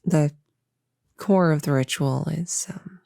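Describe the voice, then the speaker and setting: A woman acts out a young novice witch, eager but unsure, in an intimate audio roleplay. Her voice is mellow and softly creaky, with halting, reassuring phrases that often sink to a whisper. It is captured very close and dry. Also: sits low, no echo, heavily acted. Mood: earnest explaining. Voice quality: soft, often dropping into whisper. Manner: halting, soft-spoken, hesitant and reassuring. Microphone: close-up mic in a dry, quiet space.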